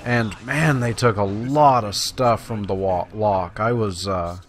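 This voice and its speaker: Male let's play host, lowish voice, conversational, folksy, faint game sounds behind. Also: a little slow, slight background noise. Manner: folksy, conversational, addresses viewers. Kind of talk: casual gameplay commentary. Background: video game sounds.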